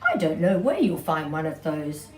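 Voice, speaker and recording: A woman's voice: low voice, big pitch swings, darkish tone. A woman voicing a friendly, apologetic male cow in a children's story, with moos and simple phrases. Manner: mooing, slow and simple. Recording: distant mic, roomy echo, background noise.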